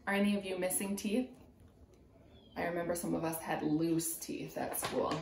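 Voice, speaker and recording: A woman in her twenties with a mid-pitched voice leads a children's storytime. She speaks like a preschool teacher, in simple phrases with room for young listeners to follow. The recording has the echo of an indoor room, picked up a little way from the camera. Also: a little mellow, slight background noise. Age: 20s. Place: small room at home, indoors.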